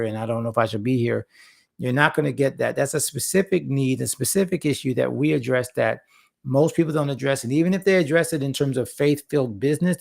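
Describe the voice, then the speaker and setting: A man with a gravelly, fry-laden voice that is a little hoarse from talking a long while. He talks earnestly to livestream viewers, circling back and repeating words to drive a point home. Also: mid-range voice. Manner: earnest, repeats words for emphasis, rambling asides. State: slightly hoarse after a long session. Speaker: host and founder of a faith-focused creative platform. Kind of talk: casual livestream talk to viewers.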